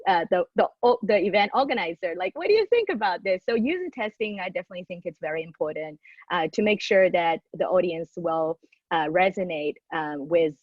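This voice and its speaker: A woman's voice: on the low side, no room sound. Hesitant woman on dull call audio. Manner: hesitant, frequent fillers. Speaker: design speaker. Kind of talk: casual panel chat. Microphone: video call.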